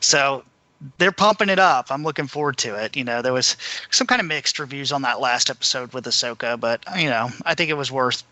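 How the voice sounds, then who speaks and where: A man's voice: mid-range voice, a little fast, pushed and bright, no room sound, low-quality recording. A man running through news in a casual, informal way as a podcast panelist. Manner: informal, conversational. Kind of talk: casual news rundown and discussion.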